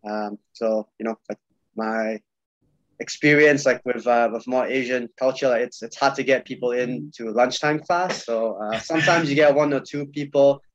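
A man's voice: mid-range voice, normal speed, no echo. A young man from Malaysia, chatting loosely as a podcast guest over a video call, full of fillers and repeats. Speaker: podcast guest, jiu-jitsu coach. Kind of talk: casual video-call chat. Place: small room indoors. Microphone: video call webcam. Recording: dull, dry video-call audio.

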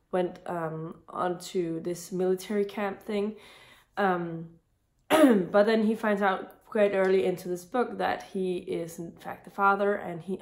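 A woman's voice: medium pitch, darkish tone. A young woman in her twenties with a voice strained by a cold, chatting casually about books in a home vlog, rambling with frequent restarts. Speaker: book vlogger. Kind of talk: casual book review vlog. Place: home room with bookshelves, indoors.

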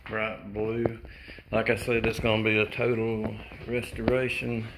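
A man giving a rambling, relaxed walkthrough of a house he is renovating, recorded on a handheld phone. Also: on the low side, unhurried. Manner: rambling, relaxed, chuckling asides. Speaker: house flipper showing a renovation. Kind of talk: casual walkthrough vlog. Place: empty house, indoors and out. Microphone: phone camera held while walking. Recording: noisy handheld phone audio.

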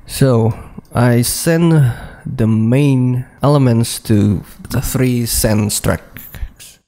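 A man slowly talking through a music production project over a screen recording, with frequent pauses, "uh" and "okay". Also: medium pitch, mellow. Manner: slow, hesitant walkthrough with frequent "uh" and "okay".

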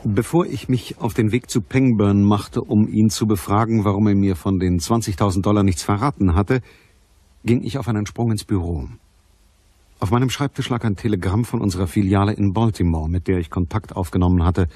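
A man's voice: on the low side, a little fast, warm tone, no echo, natural voice. An actor playing a matter-of-fact private detective who narrates his case in a radio crime play.

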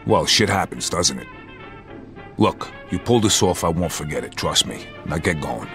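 An actor playing a hard-nosed, businesslike mobster fixer in a game cutscene, speaking tersely and bluntly with an American accent. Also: male voice, very deep voice, lively intonation, moderate pace, over music. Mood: irritated. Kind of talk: video game cutscene acting.

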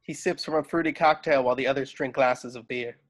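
A man's voice: medium pitch, steady tone, fairly quick. A man reading screenplay stage directions aloud as the narrator of a home table read. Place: at home on a video call.

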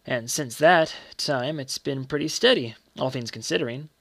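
An earnest, loyal manservant, voiced by a male storyteller speaking respectfully and colloquially. His voice is creaky and confiding, recorded very dry as in an audiobook. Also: mid-range voice, normal speed, a bit bright, no echo. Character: deferential, earnest manservant, hushed and reverent about secrets.

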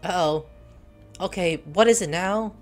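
A young woman casually commenting as she plays a game at home, reacting loudly to the screen. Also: lowish voice, a little slow, faint music behind. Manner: excitable, talks back to the screen. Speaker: gameplay streamer. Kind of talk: casual let's-play commentary.

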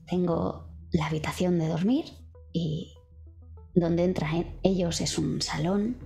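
A woman's voice: on the low side, steady tone, slow pace, creaky, mellow. A woman with an accent from Spain, improvising descriptions as a player in a tabletop game, hesitant and searching for words. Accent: Spanish (Spain). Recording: faint music behind the voice.

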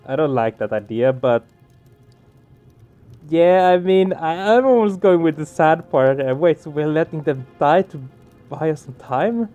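Higher-pitched man doing game commentary. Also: expressive, a little slow, dark tone, lo-fi sound. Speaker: let's play streamer. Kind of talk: casual gaming commentary, reading game text aloud. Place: small room indoors. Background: game music.

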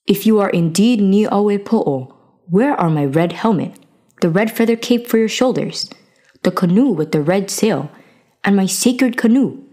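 A woman's voice: mid-range voice, expressive, measured pace, dark tone. An angry chief from a storybook legend, voiced by a woman. He is commanding and stern, and speaks as an accuser passing judgment.

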